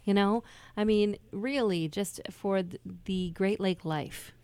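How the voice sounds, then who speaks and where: A woman's voice: medium pitch, slow, a little mellow, clear, resonant tone, dry recording. Enthusiastic woman co-host, dry sound. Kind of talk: casual interview chat.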